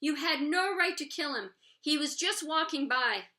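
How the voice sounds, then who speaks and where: Woman doing an excitable, bright-voiced schoolboy. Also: high voice, measured pace, voice pushed up, heavily acted. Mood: outraged. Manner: exuberant, earnest. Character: excitable, quirky schoolboy who loves tape and glue.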